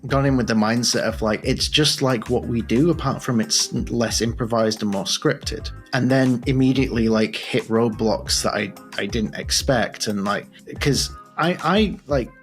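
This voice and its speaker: A man's voice: mid-range voice, normal speed, few pauses, faint music behind. A man hosting a podcast in a casual, conversational interviewing style. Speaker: podcast host.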